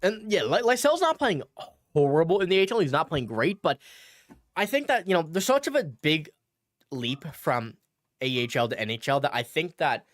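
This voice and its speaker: A man's voice: a little high, sing-song, moderate pace, no room sound. A man hosting a casual livestream, chatting and answering viewer comments. He is a bit sick, so he sounds slightly nasal. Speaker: sports channel host.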